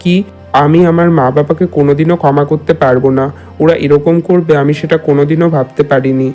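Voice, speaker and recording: A man's voice: fairly high pitch, fairly even intonation, a little fast, darkish tone, faint music behind, natural voice. A man playing a firm young husband, loyal to his wife, in a moral-tale cartoon. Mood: hurt, firm.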